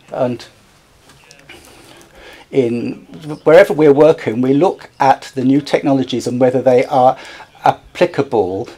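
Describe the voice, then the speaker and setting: A man interpreting a conference talk live, speaking haltingly and often correcting himself as he searches for words. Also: mid-range voice, mellow. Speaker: simultaneous interpreter.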